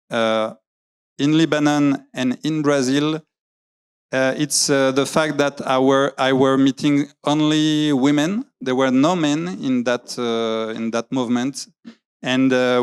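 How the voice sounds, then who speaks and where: A man gives a talk in English, pausing often to hunt for words and starting his sentences over. His voice is smooth and clear at a middle pitch, steady and unforced. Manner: hesitant, searching for English words, many fillers and restarts. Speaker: graphic novelist giving a talk. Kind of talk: public talk with slides. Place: lecture venue.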